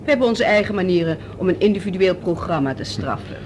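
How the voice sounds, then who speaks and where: An actress a radio play playing a cold, commanding alien leader with a formal, threatening manner. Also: female voice, on the low side, lively intonation, fast, darkish tone, natural voice. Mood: icy menace. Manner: formal, haughty, threatening, giving clipped orders. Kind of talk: Dutch radio drama acting. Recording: noisy old radio drama recording.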